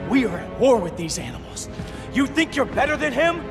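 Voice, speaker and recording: Young male game assassin: scornful, defiant, high-pitched, very animated. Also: fairly quick, heavily acted. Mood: angry, defiant. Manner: sneering, hot-headed. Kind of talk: video game cutscene acting. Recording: loud music behind.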